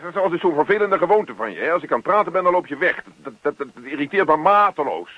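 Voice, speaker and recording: An actor playing a querulous, stammering, put-upon husband in a radio comedy play. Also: male voice, on the high side, lively intonation, fast talker, husky, no room sound. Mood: peevish. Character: whining, put-upon husband fuming about his borrowing neighbour. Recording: muffled old radio play recording.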